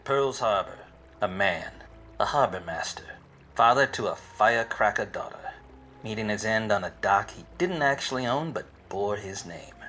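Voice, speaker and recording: An actor playing a wise-cracking, obtuse hard-boiled private eye in a parody of 1940s detective radio plays, with wordy deadpan delivery. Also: male voice, fairly low pitch, moderate pace, slight background noise. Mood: brooding deadpan. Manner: deadpan, wordy noir narration.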